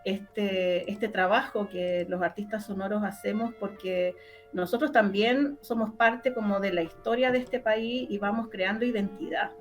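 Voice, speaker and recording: Female interview guest, Chilean accent, conversational delivery, medium pitch, light music underneath. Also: fairly even intonation, a little slow. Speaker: interview guest, sound artist. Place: online interview call.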